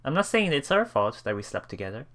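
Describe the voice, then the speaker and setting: A man reading visual novel text aloud as a let's play host, with casual commentary and a halting delivery that repeats phrases. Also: medium pitch, big pitch swings, a bit bright. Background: game music.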